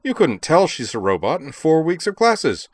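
A man's voice: fairly high pitch, big pitch swings, moderate pace, no echo, pitched above the performer's own voice. A man voicing a plucky, foppish young male student in an audiobook, with an exaggerated upper-class English accent and indignant, mannered delivery. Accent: comic-opera upper-class English.

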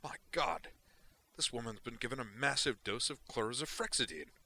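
A gruff male starship doctor blurts out alarmed exclamations, put on by a male reader. Pitched a little above the reader's deep voice, with a bright edge. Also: expressive, moderate pace, heavily acted.

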